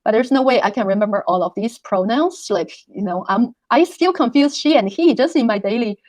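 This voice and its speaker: A woman whose first language is not English, explaining things casually as an interview guest, halting and repeating words. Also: fairly high pitch, animated, darkish tone, no room sound. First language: not English, which is a third language. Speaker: interview guest, reading tutor.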